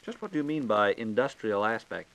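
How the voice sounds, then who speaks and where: Folksy American radio host, dry sound. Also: male voice, lowish voice, sing-song, fairly quick, fairly bright tone, dry recording, low-quality recording. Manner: folksy, inquisitive. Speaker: radio program host asking questions. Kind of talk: scripted 1940s radio dialogue. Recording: old 1940s radio recording.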